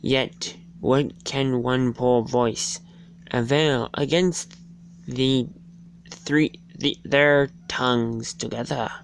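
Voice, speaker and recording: Someone reading a story out loud super slowly, halting, trips over words, faint hum in background. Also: medium pitch, fairly even intonation. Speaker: amateur reader. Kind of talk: dramatic storybook and poem reading.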